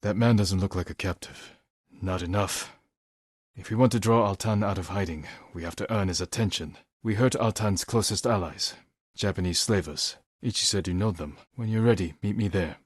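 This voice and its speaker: An actor playing a solemn, resolute samurai warrior in a video game, speaking in a measured, earnest, formal way. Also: male voice, sits low, husky, no echo, studio quality. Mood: steady, serious. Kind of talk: dramatic video game voice acting.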